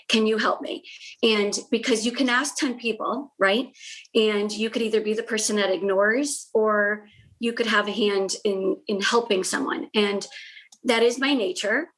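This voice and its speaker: A woman's voice: mid-range voice, a bit bright, poor audio. A middle-aged woman born in the United States, whose first language was Portuguese, speaking as a guest and reflecting with many "um"s and "you know"s. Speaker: salon owner guest. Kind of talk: casual interview chat.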